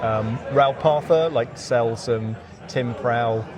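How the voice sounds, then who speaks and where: A man with an average-pitched voice gives a relaxed, informal interview as a game designer. He is recorded outdoors in a courtyard, with plenty of people chattering behind him. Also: takes it slowly, music in the background. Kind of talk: casual chat. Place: outdoors in a courtyard at a gaming event. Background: crowd chatter.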